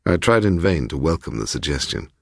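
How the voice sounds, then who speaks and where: A man narrating a first-person ghost story as a dramatic audiobook, measured and literary in manner. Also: very deep voice, sing-song, dry recording, studio quality.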